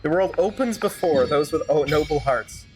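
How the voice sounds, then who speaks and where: A woman streamer with a low-pitched voice improvises commentary over a video game. She talks in a sarcastic, rambling way. Music plays behind her. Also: expressive, moderate pace, darkish tone.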